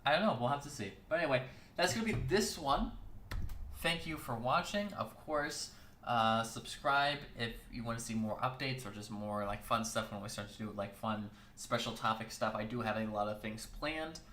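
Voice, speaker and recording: A man hosting a weekly casual chat show, talking in a rambling way with lots of fillers and restarts, his voice hoarse. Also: mid-range voice, moderate pace, sharp tone, reverberant, a little hiss or hum. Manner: rambling, filler-heavy, self-correcting. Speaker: host.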